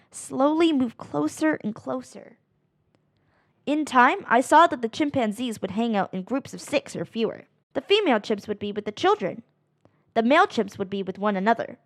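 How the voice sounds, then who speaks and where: A woman reading a children's picture book aloud in an animated, expressive way, drawing out phrases for dramatic emphasis. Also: fairly high pitch, dry recording, studio quality. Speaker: read-aloud narrator of children's books.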